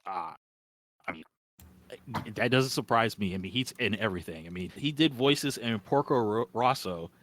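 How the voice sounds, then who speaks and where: A man's voice: fairly low pitch, expressive, moderate pace, fairly bright tone, slight background noise. A man co-hosting a podcast in loose, casual conversation. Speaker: podcast co-host.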